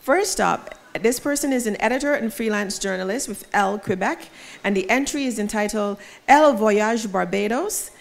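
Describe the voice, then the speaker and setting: A woman's voice: mid-range voice, moderate pace, creaky. Woman emceeing an awards evening. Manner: polished, self-deprecating asides. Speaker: awards ceremony host. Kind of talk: formal emcee announcements. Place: event venue.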